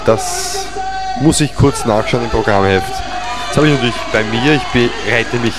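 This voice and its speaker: A man's voice: mid-range voice, huge pitch swings, normal speed, a loud crowd, poor audio. A man doing comedic wrestling commentary, joking around and dropping in mock-French phrases.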